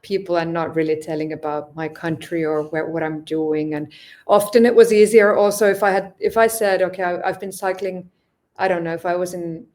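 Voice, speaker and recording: A woman from Finland with a lowish voice talks through her travels at an easy pace. She often stops and restarts her sentences as she searches for words. It sounds like relaxed podcast conversation. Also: fairly quick. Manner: hesitant, many restarts, thoughtful. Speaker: podcast guest, long-distance cyclist. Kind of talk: casual interview chat.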